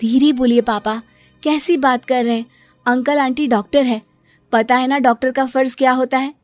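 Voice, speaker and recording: A woman's voice: high voice, fairly even intonation, normal speed, natural voice. A woman voicing an earnest, caring young daughter who reasons and pleads, in a cartoon story. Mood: earnest pleading. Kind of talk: acted cartoon story dialogue. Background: music.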